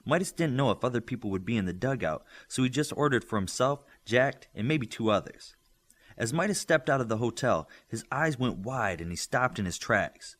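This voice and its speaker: A man reading a story aloud as scripted, expressive narration. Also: medium pitch, normal speed. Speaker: audiobook reader.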